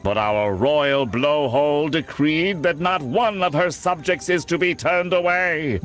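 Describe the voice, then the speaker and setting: A man's voice: mid-range voice, a bit bright. A man voicing a pompous, snobbish royal bard in a cartoon video game, drawling disdainfully in mock-archaic speech with a nasal voice.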